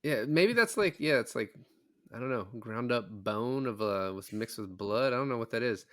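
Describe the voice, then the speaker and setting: A man's voice: medium pitch, expressive, normal speed, dry recording, studio quality. A man having a casual film discussion in a joking, informal way with some swearing.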